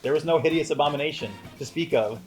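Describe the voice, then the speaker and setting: A man's voice: fairly high pitch, fast talker, faint music behind. A man co-hosting a casual film review, bantering in a mock-serious, hyperbolic way. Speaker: co-host of a movie review show. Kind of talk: casual chat with joking banter.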